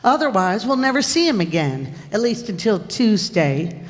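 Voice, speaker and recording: A woman playing a sensible, gently teasing wife in an old-time radio comedy. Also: mid-range voice, big pitch swings, normal speed, close to an everyday voice. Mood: wry, teasing. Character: sensible, gently teasing wife who corrects her husband. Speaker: radio player in a live re-enactment. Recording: echoey hall stage recording.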